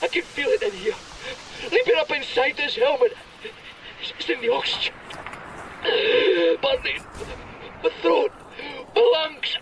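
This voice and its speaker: An anguished, delirious rescuer in a spacesuit in a radio drama. His high voice swings about in halting bursts, heard through a noisy recording. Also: big pitch swings, exaggerated voice. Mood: panicked. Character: panicked, delirious spacesuited rescuer convinced he is burning.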